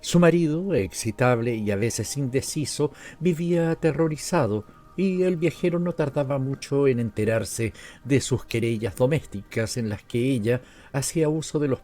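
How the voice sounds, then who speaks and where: A Latin American man narrates literary fiction in Spanish-accented storytelling form. His voice is mid-pitched, soft-edged and mellow. Music plays behind his reading. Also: normal speed, hardly any pauses. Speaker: audiobook narrator. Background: background music.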